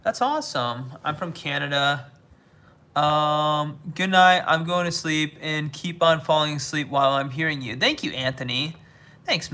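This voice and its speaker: Bright-voiced American man chatting on stream. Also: on the high side. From United States. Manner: reads viewer comments aloud and replies, with halting restarts. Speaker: livestreamer reading chat. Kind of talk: casual livestream chat. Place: living somewhere in Asia.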